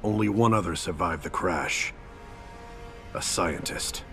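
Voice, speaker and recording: An earnest video game martial artist, with a low male voice over clear background music. He is disciplined and formal, and takes command when it matters. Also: measured pace, a bit bright. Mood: grave, formal. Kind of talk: video game cutscene acting.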